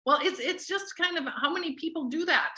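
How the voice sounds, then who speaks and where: A woman's voice: very high voice, brisk, bright, forceful tone. A woman casually hosting a group call, introducing things in an enthusiastic, gushing manner. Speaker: host of a weekly company group call.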